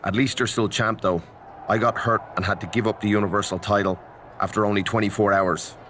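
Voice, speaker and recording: A man acting as an eager, sympathetic wrestler in a game cutscene. His conversational voice is low and earnest, with faint noise behind. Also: steady tone, fairly quick, a bit bright, natural voice. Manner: earnest, supportive. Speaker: wrestler character.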